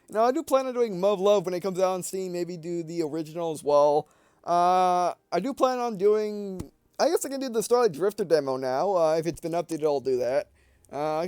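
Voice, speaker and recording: A man's voice: fairly high pitch, darkish tone, clean tone, no room sound. A man giving casual, unscripted game commentary as a let's-play host, rambling and hesitant, often correcting himself mid-sentence.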